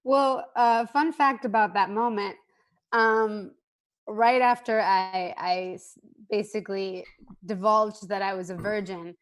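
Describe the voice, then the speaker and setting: A woman's voice: mid-range voice, fairly even intonation, takes it slowly. A woman from Mexico chatting casually as a guest, talking in a chatty way with restarts. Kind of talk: casual conversation.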